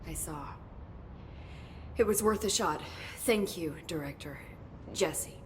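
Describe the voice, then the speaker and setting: A woman playing an earnest, helpful government agency staffer in video game dialogue, explaining things in a halting way. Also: lowish voice, a little hiss or hum. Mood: concerned.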